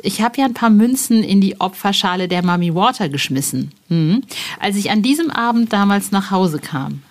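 A woman's voice: medium pitch, no echo. A woman hosting a podcast in a casual, slangy, enthusiastic way, rambling and breaking off sentences as she goes. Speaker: podcast host. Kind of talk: casual, chatty podcast talk.

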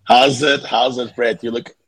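A man co-hosting a rugby podcast who is hoarse from losing his voice, talking in a wry, joking way with halting fillers. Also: mid-range voice, flat delivery, fairly bright tone, poor audio. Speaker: podcast co-host. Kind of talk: casual podcast chat.

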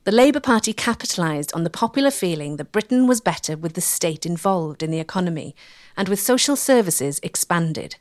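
A woman's voice: fairly low pitch, animated, brisk, no room sound. Dry, close studio woman narrator. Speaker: documentary narrator. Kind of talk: scripted narration.

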